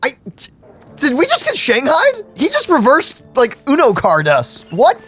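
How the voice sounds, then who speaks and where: A woman's voice: high-pitched, huge pitch swings, unhurried. A woman streaming a game, chatting and reacting casually as she plays. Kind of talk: casual playthrough chat and reactions. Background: soft game music.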